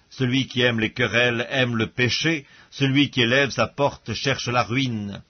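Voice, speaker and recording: A man reads scripture aloud as an audiobook narrator, solemn and measured, with formal diction, on a dull recording. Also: mid-range voice, breathy edge.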